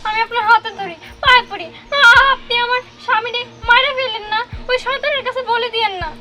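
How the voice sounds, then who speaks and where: A desperate new bride played by a woman with a very high voice, begging in a fast, insistent rush. It is amateur film melodrama with music behind. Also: steady tone. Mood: desperate pleading. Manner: pleading, insistent, colloquial. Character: desperate, pleading newlywed wife. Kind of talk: amateur horror-comedy short film acting.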